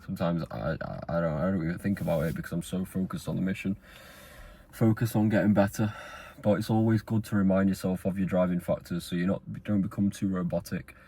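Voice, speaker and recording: A man's voice: deep voice, steady tone, fairly quick, warm tone, breathy edge. A man, talking straight to camera in a rambling, earnest motivational monologue. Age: 20s. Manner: rambling, earnest, with fillers and restarts. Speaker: vlogger.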